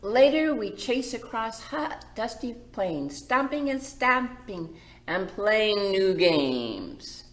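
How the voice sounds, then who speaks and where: A woman's voice: medium pitch, animated, slow. A middle-aged woman hosting a children's storytime, reading a picture book aloud and putting simple questions to young listeners. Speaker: children's librarian and storytime host. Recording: roomy echo.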